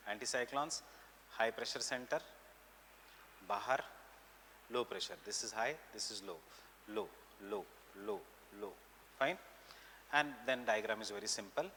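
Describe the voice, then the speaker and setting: A man's voice: fairly low pitch, fast, long pauses, bright, forceful tone. A man with an Indian accent lecturing as a teacher, switching between Hindi and English, emphatically repeating key phrases and checking understanding with short questions. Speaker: teacher, exam coaching lecturer. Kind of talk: classroom lecture on geography. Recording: roomy long reverb, background noise.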